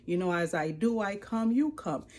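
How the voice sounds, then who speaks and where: A woman in her forties with a Haitian accent, giving an earnest, expressive personal testimony to camera. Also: mid-range voice, normal speed. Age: 40s. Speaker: life coach and ministry leader. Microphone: speaking to a camera.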